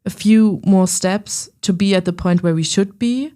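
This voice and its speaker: Mellow, hesitant young woman co-host. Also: mid-range voice, unhurried. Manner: hesitant, restarts words, many fillers. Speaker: student podcast co-host. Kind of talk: casual discussion.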